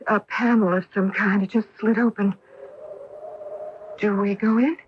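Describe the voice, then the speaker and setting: An actress playing an awestruck, wary astronaut wife in a radio drama, with a dramatic delivery. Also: female voice, mid-range voice, steady tone, unhurried, a little hiss or hum, natural voice. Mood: awed. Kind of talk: 1980s radio drama acting. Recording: dull lo-fi AM radio broadcast.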